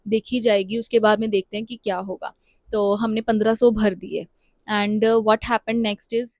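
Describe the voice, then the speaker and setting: A young Indian woman recounts her own experience to the camera in an easy, chatty way, dropping in "uh" and "you know" as she goes. Her voice sits at a middle pitch and she takes her time, unhurried. The sound is dry and close. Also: a little mellow, no room sound. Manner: switches between Hindi and English, chatty with frequent fillers. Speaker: vlogger. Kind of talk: casual storytelling vlog.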